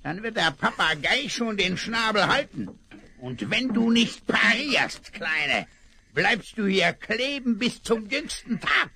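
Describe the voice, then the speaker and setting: Einen höhnischen Westernschurken. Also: male voice, high voice, very animated, takes it slowly, creaky, sharp tone, slightly rough, heavily acted. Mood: menacing. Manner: sneering, threatening, mocking politeness. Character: scheming male villain rancher, cold and menacing. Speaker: villain. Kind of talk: 1970s radio play acting.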